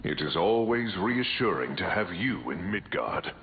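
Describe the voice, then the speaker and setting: Stately god-warrior for a game: formal, lively intonation, narrow faintly noisy recording. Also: male voice, sits low, measured pace, full character voice. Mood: stately warmth. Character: noble thunder god, stately old comrade. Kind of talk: video game dialogue.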